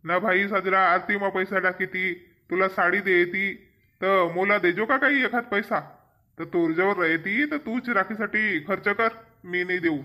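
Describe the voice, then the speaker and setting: Cartoon village husband, played by a man: high, forceful voice, rural eastern Maharashtra accent, stingy, sarcastic, bossy. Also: moderate pace. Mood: sarcastic, refusing. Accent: rural eastern Maharashtra, Balaghat-Gondia border region. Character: stingy, grumbling village husband refusing to pay. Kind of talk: cartoon comedy dialogue.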